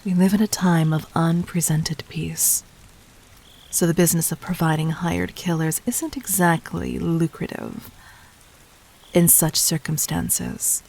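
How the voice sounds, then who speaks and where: A woman playing a calculating, commanding demon queen in an audio roleplay, speaking formally and deliberately in a soft voice that often dips toward a whisper. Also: sits low, gravelly, slight background noise, low-quality recording. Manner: measured, regal, formal, deliberate. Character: calculating, commanding demon queen toying with her would-be assassin. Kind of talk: scripted audio roleplay addressed to the listener.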